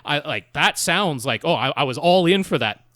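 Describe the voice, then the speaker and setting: A man with a fairly high voice that swings widely as he talks. He rambles through his opinions like a casual podcast co-host, animated and self-deprecating. The sound is very dry and close. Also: normal speed, no room sound. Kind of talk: casual opinionated film chat.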